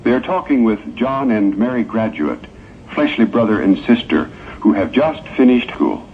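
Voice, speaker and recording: An old, thin tape recording with hiss carries a man acting as a stage narrator for a morality play. His low voice moves expressively as he explains things in a measured way. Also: expressive. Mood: explanatory. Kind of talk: scripted narration. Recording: old tinny narrow-band tape with hiss.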